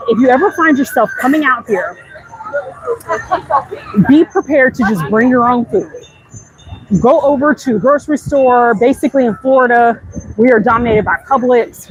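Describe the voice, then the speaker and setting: A woman's voice: on the high side, low-quality recording. A woman chatting casually and candidly to viewers as she hosts a walking livestream, speaking emphatically and directly. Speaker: content creator hosting a live walk-and-talk stream. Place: outdoors, walking through a busy theme park. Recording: outdoor crowd and ride noise. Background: park ambience, passing ride noise.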